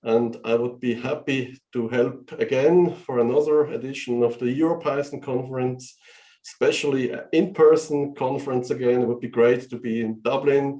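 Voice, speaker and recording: A man's voice: medium pitch, warm tone, reverberant, rough recording. A man who works as a professor, introducing himself in a friendly, slightly rambling way. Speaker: professor and board candidate. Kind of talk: online meeting self-introduction.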